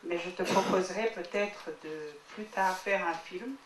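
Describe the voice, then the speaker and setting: A woman's voice: fairly low pitch, a little slow, a little hiss or hum. Woman from rwanda, audience remarks, earnest, echoey hall, distant mic. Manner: earnest, repeats herself while making a point. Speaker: audience member. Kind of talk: public Q&A remarks. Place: screening hall.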